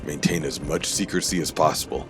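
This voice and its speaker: Man doing a very deep, imperious dark general. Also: fairly even intonation, brisk, music in the background. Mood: commanding, urgent. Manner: imperious, clipped orders. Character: stern, commanding male dark knight general, impatient.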